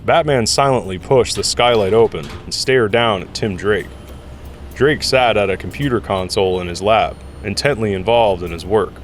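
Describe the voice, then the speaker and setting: A man serves as narrator of an audio drama. His voice sits fairly low as he reads scripted prose, with a faint bed of background noise behind him. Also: moderate pace.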